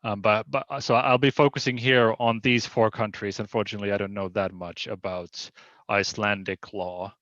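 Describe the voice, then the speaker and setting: Low-voiced man, roomy muffled sound. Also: level tone, a bit bright. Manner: hesitant, halting explanatory delivery. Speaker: legal scholar presenting at a roundtable. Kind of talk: academic presentation.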